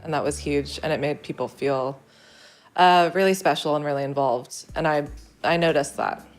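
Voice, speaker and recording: A woman's voice: deep voice, moderate pace, soft background music. A woman film crew member in a documentary interview, speaking earnestly and admiringly.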